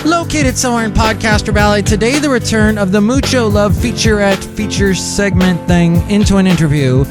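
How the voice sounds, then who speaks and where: Man, podcast host, rambling, goofy, slightly high, music bed. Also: moderate pace. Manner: goofy, rambling, sing-song asides. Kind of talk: comedic podcast monologue.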